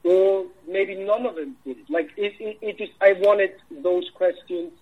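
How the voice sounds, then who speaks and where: A man from Iceland with an Icelandic accent, a film director answering interview questions in a halting way, full of restarts. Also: high voice, fairly even intonation, breathy edge, narrow, tinny audio. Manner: halting, many restarts and fillers.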